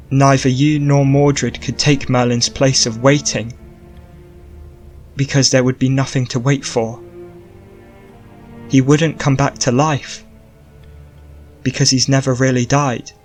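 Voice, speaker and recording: Male reader voicing a solemn sorceress explaining a curse, slow and measured, soft music under. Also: medium pitch, natural voice.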